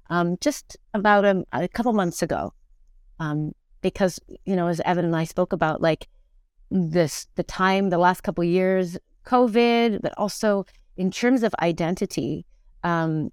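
A woman aged fifty talking as an actor being interviewed, telling things in an animated, emphatic conversational way. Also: fairly low pitch, clean tone, dry recording, studio quality. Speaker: interview guest, stage actor in musicals and plays.

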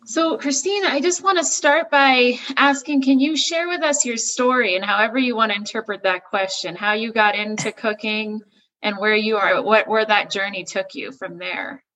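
A woman's voice: fairly high pitch, fairly even intonation, moderate pace, sharp tone, low-quality recording. A woman hosting a podcast, asking questions in a conversational interview style. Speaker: podcast host interviewing a guest.

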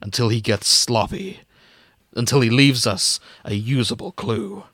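A man's voice: medium pitch, a little slow, a bit bright, husky, dry recording, clean studio sound. A man voicing a self-assured, stubborn police investigator with a terse, over-serious intensity, for a comic reading. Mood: intense, determined. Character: stubborn, self-assured male criminal investigator, hard-boiled cop.

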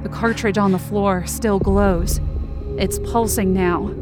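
A woman's voice: medium pitch, steady tone, takes it slowly, over music, close to an everyday voice. A woman playing a wounded, angry, defiant young woman, narrating in the first person with intense feeling in an audio drama. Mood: urgent, anxious. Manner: intense, emotive.

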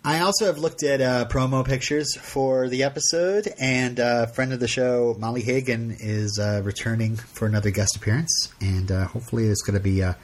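A man hosting a podcast in casual chat, rambling and halting with many fillers and frequent laughs. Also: medium pitch, steady tone. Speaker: podcast host.

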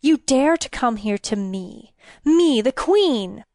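Desperate young queen, acted by a woman narrator: proud but frightened, breathy and pleading, emphatic storybook delivery, dry recording. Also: fairly high pitch, lively intonation, slow, darkish tone, no echo. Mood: outraged. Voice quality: husky, breathy when distressed. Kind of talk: audiobook fairy-tale character voice.

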